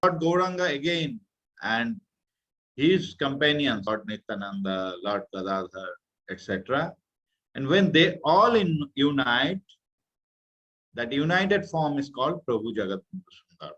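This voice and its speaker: Indian man, middle-aged, halting and earnest speaker, mid-pitched voice, somewhat dull lo-fi sound. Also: very animated, a bit bright. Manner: earnest, halting, searching for words. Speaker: guest speaker. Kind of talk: religious talk to youth class. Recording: muffled, slightly lo-fi audio.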